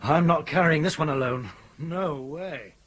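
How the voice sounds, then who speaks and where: An actor in a radio drama playing a sceptical, reluctant captain with a blunt, exasperated manner. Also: male voice, a little high, measured pace, a bit bright.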